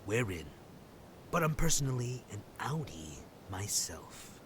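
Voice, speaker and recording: An actor in an audio drama playing a cocky, joking hitchhiker, flippant and theatrically mock-formal. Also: male voice, fairly low pitch, sing-song, unhurried, fairly bright tone, slight background noise. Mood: droll. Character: cocky, joking young male undercover hitchhiker.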